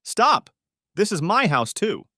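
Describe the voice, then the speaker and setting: A frightened young wife pleads through tears in a high, strained voice, as a male narrator puts her on. Her lines carry a desperate, begging edge. The sound is dry and close. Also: sing-song, normal speed, a bit bright, no room sound. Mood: protesting. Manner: pleading, sobbing.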